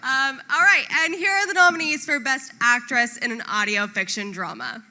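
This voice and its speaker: A woman's voice: high-pitched, normal speed, sharp tone. A woman presenting awards at a live ceremony on a theatre stage. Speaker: voiceover artist.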